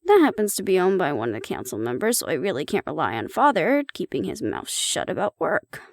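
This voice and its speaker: A woman's voice: fairly low pitch, huge pitch swings, brisk, no room sound. A woman acting in an audio roleplay as a sardonic, nervous young woman who mutters dry asides to her mate. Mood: pleading, wry.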